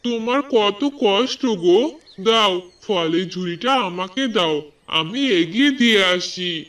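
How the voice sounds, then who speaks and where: A man's voice: sits high, measured pace, a bit bright, recorded from a distance. A man voicing a kind-hearted, earnest poor village husband in a cartoon fairy tale. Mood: sympathetic.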